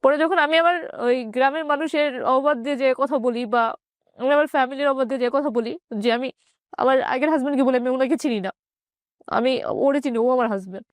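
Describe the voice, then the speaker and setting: A woman with a Bangladeshi accent telling her personal story in an interview, haltingly and with repeated phrases. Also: high-pitched, no echo.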